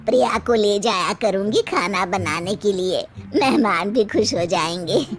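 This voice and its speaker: A woman voicing a gossipy middle-aged guest in an animated story, teasing and effusive. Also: medium pitch, measured pace, faint music behind, pitched below the performer's own voice, lightly acted. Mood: delighted praise. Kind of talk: animated Hindi moral story voice acting.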